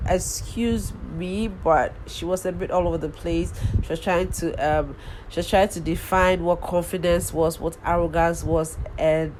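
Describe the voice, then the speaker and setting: A woman with a notably low voice and a head cold that leaves her sounding nasal. She talks in a loose, casual way, stopping for ums and restarting her sentences as she goes. A faint noise sits under her voice. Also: poor audio. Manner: rambling, lots of ums and restarts. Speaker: vlogger recapping a reality show. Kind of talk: casual chatty recap.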